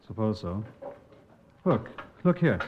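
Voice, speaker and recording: An actor playing a keen, dogged junior detective in a 1950s radio crime drama, terse and matter-of-fact. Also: male voice, on the low side, sing-song, slow, a little hiss or hum. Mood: searching, matter-of-fact. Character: keen, dogged male police subordinate detective. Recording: muffled old-time radio recording.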